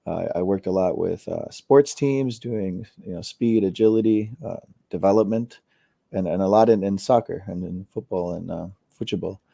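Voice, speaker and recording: A man in his thirties, from Costa Rica, chatting casually as an interview guest, relaxed with many fillers and repeated words. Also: sits low, normal speed, vocal fry, no room sound, studio quality. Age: 30s.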